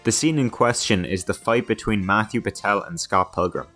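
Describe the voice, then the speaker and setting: A man's voice: on the low side, moderate pace, bright, forceful tone. A young man giving casual, joking, self-deprecating commentary as a guest in a video essay, over background music.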